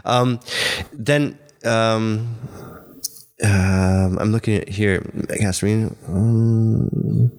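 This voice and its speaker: Very deep-voiced man interviewing, halting. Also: darkish tone. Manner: hesitant, many fillers and restarts. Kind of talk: casual academic interview. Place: lives in Budapest.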